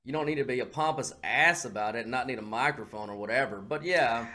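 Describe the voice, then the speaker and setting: A man's voice: mid-range voice, fast, sharp tone. A man co-hosting a podcast with casual comedic banter, wisecracking and swearing freely. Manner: wisecracking, profane. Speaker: podcast co-host.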